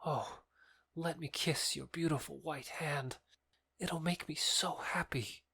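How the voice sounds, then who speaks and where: A man's voice: fairly high pitch, steady tone, slow, creaky, sharp tone, husky, heavily acted. A man playing an ardent, proud young lover in a modern-English Shakespeare audio drama, effusive and declamatory. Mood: adoring.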